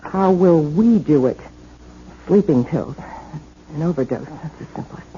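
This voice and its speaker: An actress playing a cold, calculating woman plotting murder in a 1970s radio drama, cool, brisk and sardonic. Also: low voice, animated, mellow. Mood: cold, insistent. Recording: dull, lightly hissy 1970s radio recording.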